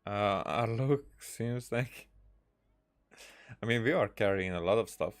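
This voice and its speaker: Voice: mid-range voice, unhurried. Mumbling game streamer with hesitant asides. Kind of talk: casual commentary over gameplay.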